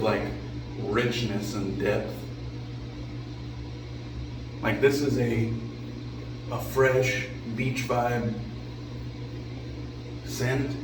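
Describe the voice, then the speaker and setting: A man hosting a shaving vlog while on vacation, his voice raspy and hoarse from drinking and talking over music, rambling casually and clearing his throat often. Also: fairly low pitch. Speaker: shaving channel host. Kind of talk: casual shave vlog talk. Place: vacation bathroom with air conditioning. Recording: echoey room, distant mic, noticeable background hum.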